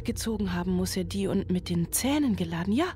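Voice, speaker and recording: A woman's voice: lowish voice, animated, brisk, dark tone, close to an everyday voice. An actress playing an anguished, middle-aged single mother in a German-style radio drama monologue, speaking intensely and poetically. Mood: bitter, stunned. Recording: music behind the voice.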